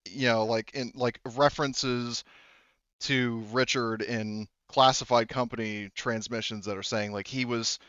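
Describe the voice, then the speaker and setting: Halting man game master, clear dry voice. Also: medium pitch, fairly even intonation, fairly bright tone, no room sound, muffled recording. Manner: halting, repeats and rephrases mid-sentence. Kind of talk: explaining plot while running a tabletop roleplaying game.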